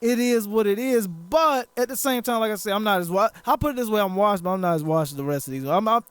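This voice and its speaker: A man's voice: high voice, animated, fast talker, clear, resonant tone, no echo. A man hosting a casual podcast chat, rambling, joking and swearing a lot. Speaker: podcast host.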